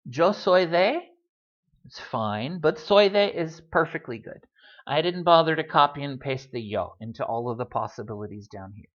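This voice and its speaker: A man's voice: a little high, expressive, normal speed, highs cut off. A man giving a casual Spanish lesson, full of wry asides and ums. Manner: chatty, wry asides, many ums and uhs. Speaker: Spanish teacher.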